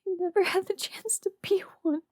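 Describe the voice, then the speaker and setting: Woman acting a high-voiced, anguished young queen. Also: steady tone, a little fast, dry recording, clean studio sound. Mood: grieving, haunted. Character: young distraught queen, proud, trapped and tearful. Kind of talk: historical romance audio roleplay, dramatic monologue.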